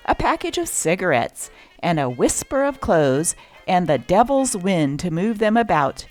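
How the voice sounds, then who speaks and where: A woman hosting a show that reads aloud from old newspapers, with an expressive, varied delivery. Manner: expressive reading with lively pitch. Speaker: channel host reading old newspapers.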